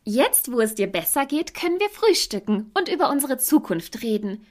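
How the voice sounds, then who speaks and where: Woman acting a girlish fairy-tale princess, very high pitch, animated delivery, bossy, dreamy, children's audio play. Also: normal speed. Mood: patient. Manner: chirpy, expressive, commanding.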